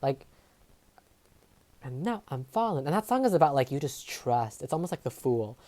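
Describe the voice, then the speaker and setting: A woman's voice: extremely low pitch, very animated, normal speed, dark tone. A woman whose first language is Tagalog, giving a rambling tarot reading full of fillers and trailing phrases. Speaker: tarot reader. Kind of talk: casual tarot reading monologue. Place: home filming setup. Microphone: overhead camera setup.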